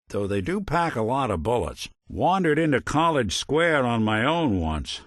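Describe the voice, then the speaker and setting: A jaded, middle-aged synthetic gumshoe from a video game, with an American accent and a lowish voice. He tosses off wry, hard-boiled asides in a deadpan way. The sound is very dry, with no room on it. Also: no echo. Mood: dry, joking. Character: world-weary, wisecracking synthetic robot detective, middle-aged male.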